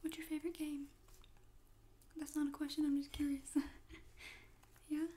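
A young woman speaking in a breathy, mostly whispered ASMR style, gently and informally asking questions as if interviewing a friend. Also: sits high, completely flat delivery, moderate pace. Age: young adult. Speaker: ASMR host. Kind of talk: soft-spoken ASMR friend interview roleplay. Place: small room at home, indoors.

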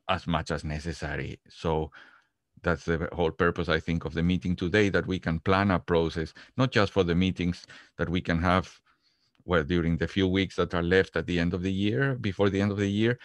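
A middle-aged man from Peru explaining his work in a halting way on a video call, speaking into a headset. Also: low-pitched, no echo. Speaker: experience capitalization specialist. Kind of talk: introducing himself in an online meeting. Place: book-lined office indoors, on a video call.

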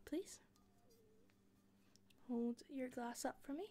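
Soft, giggly Scottish woman hostess. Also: sits high, slow, a little hiss or hum. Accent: Scottish, softened. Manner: soft, giggly, attentive, drops into whispers. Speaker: hostess in a roleplay. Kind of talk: listener-directed roleplay.

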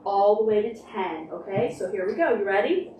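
A woman's voice: medium pitch, animated, normal speed, distant mic. A middle-aged woman talks encouragingly to small children in short, simple phrases as she leads a storytime. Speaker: children's librarian leading storytime. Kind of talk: interactive preschool storytime talk. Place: carpeted library meeting room with children and parents. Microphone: distant camera microphone. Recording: roomy, noisy recording from across the room.

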